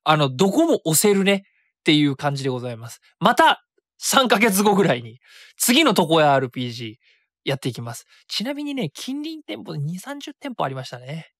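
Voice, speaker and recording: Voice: mid-range voice, very animated, brisk, fairly bright tone, no room sound, studio quality. A radio host chatting casually alone, mixing polite speech with colloquial asides. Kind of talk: casual solo radio talk.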